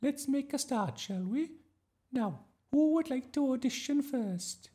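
Man putting on a high, gushing lady drama teacher. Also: expressive, a little slow, mellow. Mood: bright, commanding. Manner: sing-song, exaggeratedly polite. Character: gushing, theatrical young woman drama teacher. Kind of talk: children's audiobook character voice.

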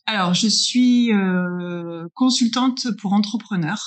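Woman in her 40s, mid pitch, interview guest explaining stuff step by step, says ok a lot. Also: takes it slowly. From northern France. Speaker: interviewed guest, business incubator consultant. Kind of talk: conversational interview answers.

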